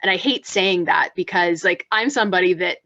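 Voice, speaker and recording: A chatty woman co-hosting a relaxed panel talk, with a low voice she considers masculine, often restarting her sentences. The sound is dry and close, a little muffled. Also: a little fast, no room sound. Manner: chatty, many fillers and restarts. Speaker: podcast co-host. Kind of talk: casual panel discussion.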